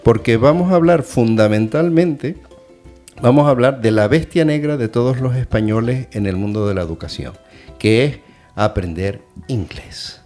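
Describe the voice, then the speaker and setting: A man's voice: mid-range voice, normal speed, a little mellow, faint music behind. A middle-aged man hosting an educational radio chat, talking in a hesitant, thoughtful way with many fillers. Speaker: radio host and adult education teacher.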